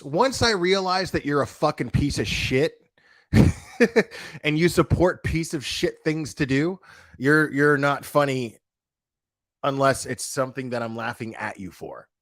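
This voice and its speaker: A man's voice: medium pitch, animated, unhurried, fairly bright tone. A man ranting in a casual reaction video, blunt and profane, with lots of restarts and slangy fillers. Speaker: online commentator.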